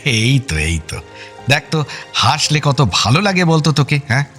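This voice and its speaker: A man acting a blustery but affectionate uncle in a radio drama, husky and colloquial. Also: fairly high pitch, big pitch swings, moderate pace, a bit bright, faint music behind. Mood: teasing, affectionate. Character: blustery, affectionate family uncle, teasing and exasperated.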